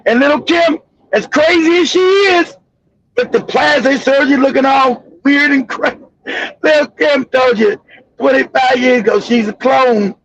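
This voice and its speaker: A man with a strikingly high voice and a rough, gravelly edge rants at full volume, full of mockery and swearing. His heated commentary comes through dull, lo-fi stream audio. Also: unhurried, no echo. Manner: loud, profane, mocking ranting. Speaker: show host.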